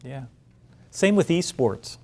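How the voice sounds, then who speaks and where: A man's voice: mid-range voice, lively intonation, slow pace, darkish tone. College president guy, casual and conversational, talking to a room of alumni. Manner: conversational, self-deprecating, with restarts. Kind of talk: informal speech to alumni. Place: large meeting room.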